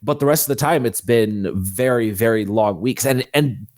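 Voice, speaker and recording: A man's voice: mid-range voice, moderate pace, darkish tone, no room sound, clean studio sound. A man speaking as a guest author in a conversational interview, explaining things earnestly.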